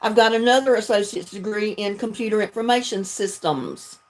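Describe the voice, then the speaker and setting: Woman, Southern US accent, mid-pitched voice, folksy, chatty, casual livestream talk. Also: normal speed, poor audio. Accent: Southern US, North Carolina. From North Carolina, United States. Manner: folksy, chatty, laughs often. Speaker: video creator hosting a livestream.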